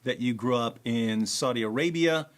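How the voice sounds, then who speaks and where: A man's voice: mid-range voice, moderate pace. A man hosting a conversational interview, asking his guest questions in a friendly, curious way. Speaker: host.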